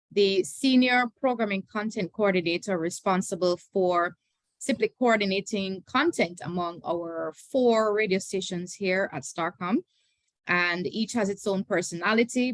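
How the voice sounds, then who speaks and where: A woman's voice: mid-range voice, fairly bright tone, dry recording. A woman introducing herself in an orderly, articulate way as a radio coordinator at an online meeting.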